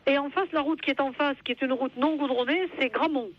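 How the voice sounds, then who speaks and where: A woman's voice: sits high, fairly quick, slight background noise. A polite, earnest woman in a spontaneous telephone conversation, heard over a phone line. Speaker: prank call recipient at a carpentry business.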